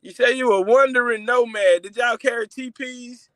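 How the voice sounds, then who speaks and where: Male stream host, very high voice, teasing banter. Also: steady tone, moderate pace, dry recording. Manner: teasing, loud, joking banter. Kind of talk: casual livestream chat.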